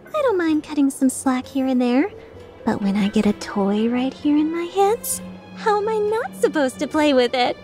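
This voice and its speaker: Girl voicing playful evil merc chick for a game, high swoopy voice, taunting and sarcastic. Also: a little mellow. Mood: sly, sardonic. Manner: teasing, mock-sincere, sardonic. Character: playful, taunting, mischievous young female mercenary villain. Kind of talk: fan dub of game dialogue.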